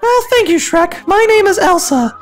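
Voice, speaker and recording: A performer voicing an anxious, prim young blonde woman in a squeaky falsetto, in a comic fan fiction reading. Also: expressive, moderate pace, soft background music. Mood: earnest, grateful. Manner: flustered, fussy, breathlessly earnest.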